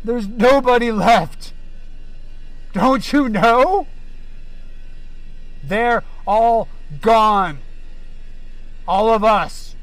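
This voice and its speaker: A man voices a desperate, paranoid survivor in a horror audio drama. His voice sits high and drags slowly. It sounds close and dry, with no room around it. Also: dry recording. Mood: bleak. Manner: frantic, pleading, then bitter.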